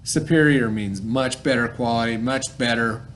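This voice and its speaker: A man's voice: medium pitch, moderate pace, a bit bright, a little hiss or hum. A man speaking as a schoolteacher in an informal lesson for a child, explaining things conversationally and checking for understanding. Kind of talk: informal read-aloud and vocabulary lesson for a child.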